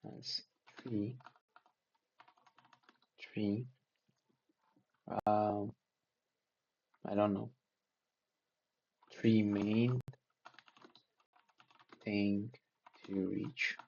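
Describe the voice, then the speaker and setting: A man's voice: lowish voice, level tone, very slow, creaky, pauses often, a little mellow, husky, lo-fi sound. A man for whom English is not a first language, taking notes aloud in a casual study vlog, hesitant and restarting as he searches for words. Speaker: learner sharing notes.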